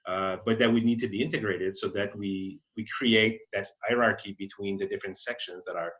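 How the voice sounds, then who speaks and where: A man's voice: fairly low pitch, steady tone, a bit bright. A man explains material as an expert webinar presenter, feeling his way through sentences and often rewording them. His voice comes through a slightly muffled, lo-fi online connection. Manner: halting, rephrases mid-sentence. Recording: slightly dull, lo-fi webinar audio.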